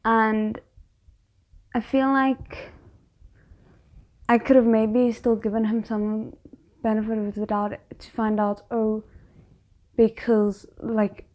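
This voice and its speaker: High-voiced woman musing in casual reaction commentary. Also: little pitch movement, takes it slowly, long pauses, darkish tone. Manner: musing, thinking aloud in hesitant fragments. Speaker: reaction host commenting on a drama episode.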